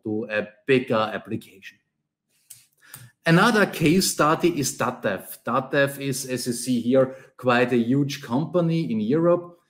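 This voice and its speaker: Austrian-accented male tech speaker. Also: medium pitch. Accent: Austrian German. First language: German. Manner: explanatory, repeats key phrases, non-native phrasing. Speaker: conference speaker, Angular trainer and consultant. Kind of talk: conference talk.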